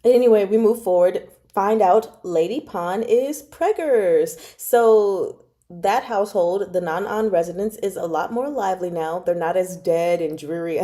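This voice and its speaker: A woman with a chatty, giggly, expressive way of talking, casually hosting a livestream book club. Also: on the low side, normal speed. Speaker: host of a book club reading stream. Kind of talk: casual livestream chat about a novel.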